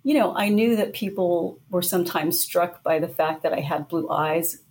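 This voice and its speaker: A woman's voice: on the low side, moderate pace, darkish tone. A middle-aged woman guest in an interview, telling her story thoughtfully. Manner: thoughtful storytelling, light laughs. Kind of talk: conversational interview.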